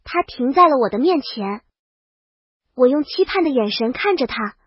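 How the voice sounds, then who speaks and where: A woman's voice: high-pitched, animated, normal speed, slightly rough, no room sound, highs cut off. A woman narrating a comedic story in the first person, in storybook style. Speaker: audiobook narrator.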